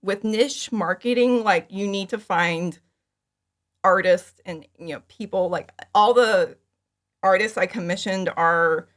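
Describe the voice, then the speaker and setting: A woman speaking as a guest in a casual interview, giggly, with animated asides and lots of "like". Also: mid-range voice, measured pace. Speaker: podcast guest, fan audio drama creator.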